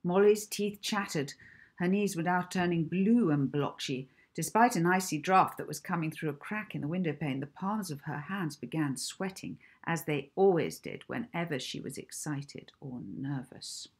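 A woman in her fifties reading her own children's book aloud as an expressive, deliberate storyteller. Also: low voice, husky. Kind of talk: children's audiobook narration. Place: small indoor room at home.

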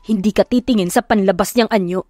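A firm, commanding male suitor , voiced by a woman, scolding and protective. It is audiobook romance acting with a dry sound. Soft music runs behind. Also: medium pitch, fast, dry recording, lightly acted. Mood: angry, scolding.

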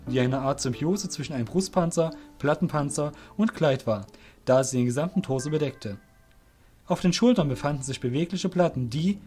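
A man reads scripted fantasy narration in a somewhat high voice. His delivery is deliberate and descriptive, and faint music runs behind it. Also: warm tone.

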